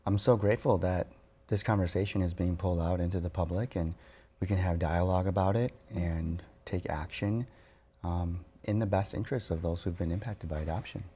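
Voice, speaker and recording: A man, a therapist and author, speaking softly and reflectively as a TV interview guest, pausing often with fillers. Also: deep voice, fairly even intonation, breathy edge. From born in Korea, raised in Wisconsin. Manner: soft-spoken, reflective, halting with fillers.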